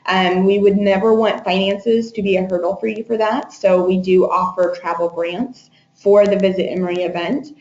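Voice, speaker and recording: A woman's voice: mid-range voice, flat delivery, moderate pace, hardly any pauses, darkish tone. A woman giving an informational webinar presentation as an admissions presenter, explaining with frequent hesitations. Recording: echoey room, muffled webinar audio.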